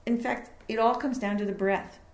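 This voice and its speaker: A woman recording engineer talking casually in an interview about her studio, explaining with fillers and pauses. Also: fairly high pitch, fairly even intonation. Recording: echoey room.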